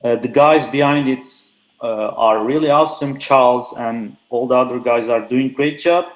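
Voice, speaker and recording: A man's voice: medium pitch, normal speed. Chatty man giving a remote talk. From Turkey. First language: Turkish. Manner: frequent "uh" fillers, loose and chatty. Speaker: conference speaker. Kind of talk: casual technical talk. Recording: echoey remote call audio.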